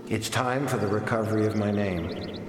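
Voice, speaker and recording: Male actor as resolute writer, radio drama, solemn, lower pitch, cavernous echo, faint background noise. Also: fairly even intonation, normal speed, natural voice. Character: resolute blacklisted male writer reclaiming his name.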